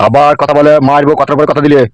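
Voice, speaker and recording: গ্রামবাংলার টানে একজন পুরুষ কার্টুনে এক বদরাগী, মুখখারাপ, দাদাগিরি-করা নেতার গলা দিচ্ছে।. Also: male voice, on the high side, monotone, rapid-fire, no echo, close to an everyday voice. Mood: threatening. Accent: rural West Bengali. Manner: threatening, domineering. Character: bullying, hot-tempered politician boss, manipulative and crude. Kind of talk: amateur comedy cartoon dub.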